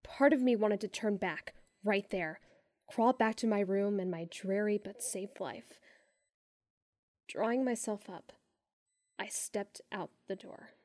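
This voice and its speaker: A woman narrating a first-person story in a measured way, sinking to hushed tones in tense moments. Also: medium pitch, no room sound, clean studio sound. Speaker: audiobook narrator.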